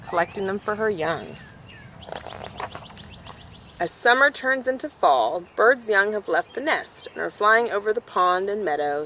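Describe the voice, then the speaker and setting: A woman's voice: mid-range voice, a bit bright, slight background noise. A woman reading a children's picture book aloud, explaining things directly to her listeners as she goes. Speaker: storybook reader for a nature organization.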